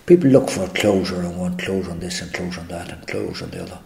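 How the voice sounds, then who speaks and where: An older man with an Irish accent, answering interview questions in a halting, reflective way with many restarts. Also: low voice, creaky, dark tone. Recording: echoey room.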